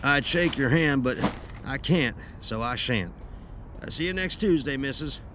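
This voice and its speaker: An actor playing a gruff, blunt, grieving taxidermist in an audio horror drama, talking in a curt, folksy way. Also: male voice, medium pitch, animated, a little slow, sharp tone, a little hiss or hum. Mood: curt, businesslike.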